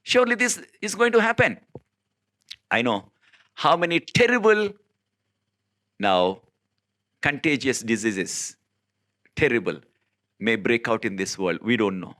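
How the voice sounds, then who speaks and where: A man from South India whose first language is Telugu, preaching in an earnest, emphatic way, with many filler pauses and sudden rises in pitch. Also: sing-song, pushed and bright. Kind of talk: informal recorded sermon.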